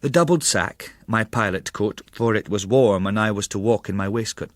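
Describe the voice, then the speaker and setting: A man narrating a literary audiobook in a measured, wry storytelling manner with formal diction. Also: fairly low pitch, dry recording, studio quality.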